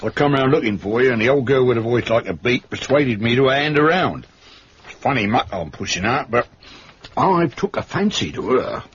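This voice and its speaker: An actor playing a rough, cheeky working-class London manservant in a British detective radio drama. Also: male voice, mid-range voice, lively intonation, normal speed, fairly bright tone. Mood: wry, chatty. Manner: colloquial, wry. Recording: dull, lo-fi radio recording.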